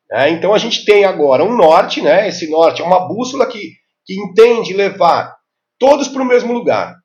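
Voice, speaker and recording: Man in his fifties, Brazilian accent, high-pitched voice, didactic interview explanations, frequent tag questions, dull lo-fi audio. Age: 50s. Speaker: interview guest, health informatics specialist.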